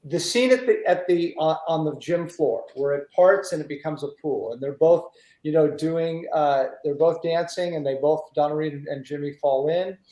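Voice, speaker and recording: A man from the United States hosting a casual interview, telling stories in an animated, rambling way. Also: a little high, moderate pace. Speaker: talk show host. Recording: roomy echo.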